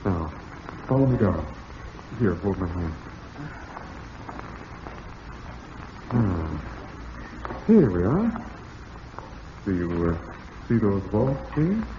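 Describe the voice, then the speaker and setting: An actor playing a smooth, scheming husband in a 1940s style radio drama. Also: male voice, sits low, big pitch swings, slow, long pauses, full character voice. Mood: coldly menacing. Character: smooth, scheming husband who murders his wife. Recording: muffled, noisy old radio recording.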